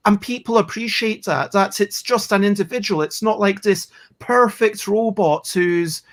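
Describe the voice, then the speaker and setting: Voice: medium pitch, moderate pace. Chatty, rambling board game show host. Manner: chatty and self-deprecating, rambling with restarts. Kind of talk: casual chat.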